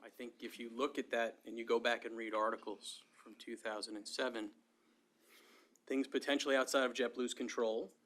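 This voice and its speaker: A man giving measured remarks at an advisory committee meeting, thinking aloud with frequent restarts. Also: medium pitch, level tone, a bit bright. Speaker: committee member.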